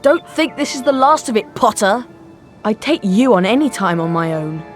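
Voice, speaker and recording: A woman's voice: medium pitch, sing-song, moderate pace, natural voice. A woman voicing an arrogant schoolboy bully in a video game, taunting and haughty. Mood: challenging. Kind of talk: video game cutscene acting. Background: music.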